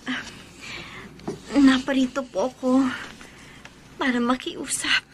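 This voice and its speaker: A woman's voice: sits high, little pitch movement, slow, background noise. A woman playing a young village woman in a radio horror drama, polite and pleading, often in tears.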